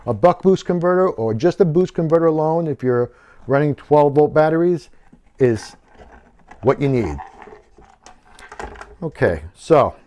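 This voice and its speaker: A man's voice: a little high, mellow. A man presenting a hobby how-to in a practical, conversational way, explaining things informally as he goes.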